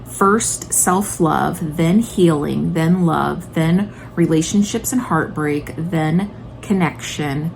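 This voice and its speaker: A woman vlogger chats in a casual, gushing way, full of enthusiasm. Her voice sits fairly low and often drops into vocal fry. There is faint noise behind her. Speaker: homeschooling video blogger and mother. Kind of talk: casual chatty book recommendation vlog.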